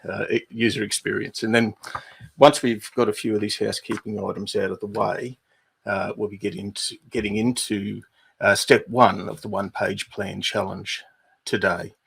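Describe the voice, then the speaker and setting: A middle-aged Australian man with an Australian accent, hosting a livestream and walking viewers through housekeeping in an unhurried way with many fillers. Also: fairly low pitch, level tone, fairly bright tone. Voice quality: gravelly, husky. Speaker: livestream host, business advisor.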